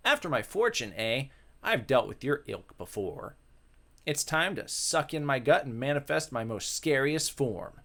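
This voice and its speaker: A man's voice: mid-range voice, lively intonation, measured pace, bright, forceful tone, heavily acted. A man voicing a mischievous, vain, lecherous male ghost in a theatrical, exclamatory way for a visual novel. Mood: outraged, blustering.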